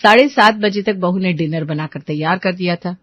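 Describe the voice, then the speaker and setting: A woman's voice: on the low side, normal speed, fairly bright tone, no room sound, muffled recording. A woman storyteller reading scripted family story narration.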